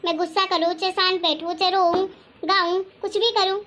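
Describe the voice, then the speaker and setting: Casting: female performer as angry young wife, extremely high voice, sarcastic and quarrelsome, moral-story family drama. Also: steady tone, fairly quick, pushed and bright. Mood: irritated, sarcastic. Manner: scolding, sarcastic, argumentative. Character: angry, sharp-tongued young wife demanding her share. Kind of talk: acted dialogue in Hindi family story.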